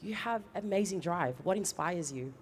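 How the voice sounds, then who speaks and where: A woman presents a live event and puts questions to her guests. Her voice sits a little low, and she speaks in an upbeat, encouraging way. Faint background noise comes through. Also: lively intonation, moderate pace. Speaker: event host interviewing athletes.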